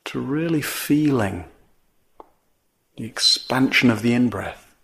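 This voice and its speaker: Male meditation teacher, slow pace, measured phrasing, long pauses, guided practice. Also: medium pitch, expressive, gravelly, a bit bright.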